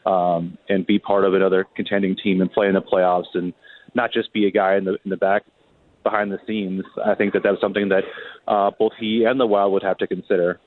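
A man's voice: low voice, level tone, a little fast. A man speaking as a reporter guest on a sports show, talking through hockey analysis in a hesitant, word-repeating conversational way. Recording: thin phone line, roomy echo.

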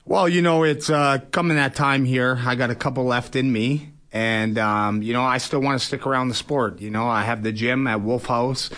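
A man of middling pitch talks as a guest in a casual sports interview. He sounds like a fighter explaining his trade in plain, informal speech, often tagging phrases with "you know". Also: steady tone, moderate pace, few pauses, a bit bright.

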